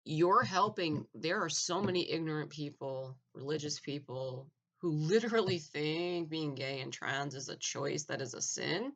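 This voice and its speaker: A man giving a blunt, argumentative opinion monologue, full of "like" fillers and restarts, clearing his throat now and then. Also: on the high side, measured pace, hardly any pauses, fairly bright tone. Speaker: opinion vlogger.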